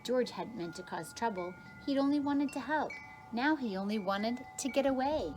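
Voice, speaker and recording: A woman's voice: a little high, animated, normal speed, a little mellow, soft background music. A woman teacher reads a children's picture book aloud expressively, drawing out key words for emphasis. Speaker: kindergarten teacher reading aloud.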